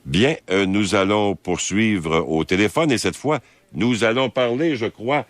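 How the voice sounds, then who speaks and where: A man with a Quebec French accent hosting an overnight phone-in radio show, speaking slowly and hesitantly with drawn-out fillers. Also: lowish voice, dry recording. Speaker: overnight radio call-in host. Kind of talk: late-night phone-in talk radio. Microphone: studio microphone.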